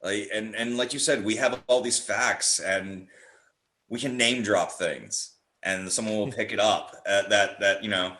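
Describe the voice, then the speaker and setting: A man's voice: on the low side, a little slow, sharp tone. A man chatting casually as a podcast guest, talking in an enthusiastic, rambling way. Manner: enthusiastic, rambling, acts out little quotes. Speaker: podcast guest, horror theatre director. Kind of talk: casual chat. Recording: roomy, echoey sound.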